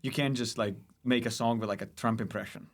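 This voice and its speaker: A man's voice: medium pitch, fairly even intonation, a little fast. A man with a Lithuanian accent, a stand-up comedian chatting casually as a podcast guest, often restarting and repeating his phrases.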